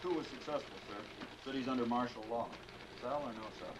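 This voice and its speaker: An actor playing a brisk, cold businessman, clipped and businesslike, in a vintage suspense drama. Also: medium pitch, close to an everyday voice. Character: brisk, cold businessman taking orders by report. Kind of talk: mid-20th-century suspense drama acting. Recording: noisy vintage drama soundtrack.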